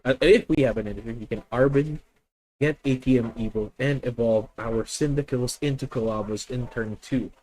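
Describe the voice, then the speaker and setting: Filipino guy streaming a card game, mid pitch, slow and methodical, talks through every move and fixes his own words. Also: a little mellow, low-quality recording. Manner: thinking aloud, methodical, self-correcting. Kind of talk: live deck-test commentary.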